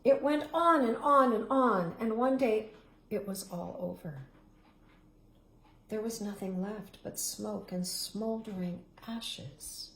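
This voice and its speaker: A middle-aged woman reading a picture book aloud, dramatic in the loud parts and hushed to a whisper in the quiet ones. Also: mid-range voice, slight background noise. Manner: expressive, dramatic rises and hushed, whispered endings. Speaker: storybook reader. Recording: roomy echo.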